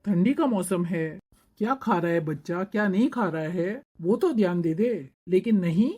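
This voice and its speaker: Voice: medium pitch, expressive, moderate pace, warm tone, slightly rough. A performer voicing a reproving young husband and father in a cartoon family drama.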